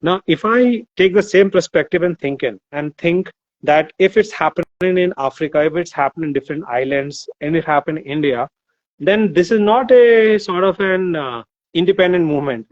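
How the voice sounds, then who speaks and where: A man's voice: fairly high pitch, moderate pace, no echo. Thoughtful man on a dry, muffled line. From Nigeria. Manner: thoughtful, with many fillers and restarts. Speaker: author guest. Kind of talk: casual discussion.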